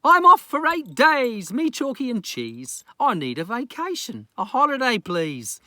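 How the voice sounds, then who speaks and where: A man's voice: very high voice, huge pitch swings, a little slow, pushed and bright, no echo, studio quality, pitched above the performer's own voice, full character voice. A man voicing a bossy, fed-up pirate's wife in a children's story, declaiming insistently. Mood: fed up, demanding.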